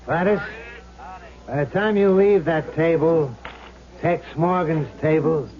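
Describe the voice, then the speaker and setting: A man's voice: fairly high pitch, a little hiss or hum, close to an everyday voice. An actor playing the vengeful, wisecracking ghost of a swindler in a radio drama, with cocky, slangy tough-guy talk. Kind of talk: vintage radio drama acting. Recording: muffled old radio recording, crowd murmur behind.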